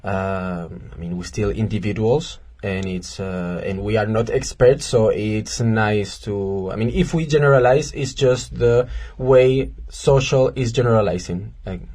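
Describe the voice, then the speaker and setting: A young man from Spain, a volunteer guest on a radio chat, who speaks haltingly with many fillers and restarts. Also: on the low side, a little mellow. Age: young adult.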